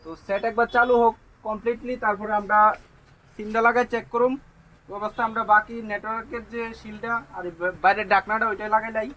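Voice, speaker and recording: A man's voice: high-pitched, level tone, fast talker, pushed and bright, low-quality recording. A man with a Tripura Bengali accent giving an informal phone repair demonstration as a technician, with repetitive, emphatic assurances.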